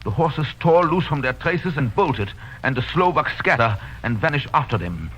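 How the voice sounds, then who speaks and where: An actor playing an authoritative doctor hunting a vampire, intense and declamatory in 1930s radio style. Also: male voice, fairly high pitch, very animated, moderate pace, a bit bright, breathy edge, exaggerated voice. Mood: tense urgency. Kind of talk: 1930s radio drama acting. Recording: dull, noisy vintage radio recording.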